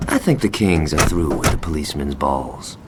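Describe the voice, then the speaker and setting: A man's voice: extremely low pitch, lively intonation, moderate pace, very noisy. A man playing a cocky, foul-mouthed gangster in a video game cutscene, brash and wisecracking.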